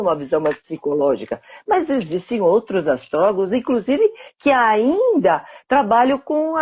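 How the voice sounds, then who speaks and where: A woman's voice: fairly high pitch, darkish tone, husky, lo-fi sound. A woman, a psychotherapist guest explaining ideas in a conversational way, often checking in with little tag questions.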